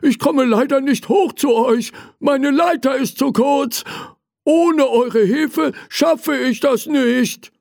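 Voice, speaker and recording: A man's voice: very high-pitched, slow pace, vocal fry, a little mellow, no room sound, studio quality, voice pushed up, exaggerated voice. A man voicing a tiny, earnest toy fireman who calls out pleadingly in a storybook. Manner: calling out, pleading.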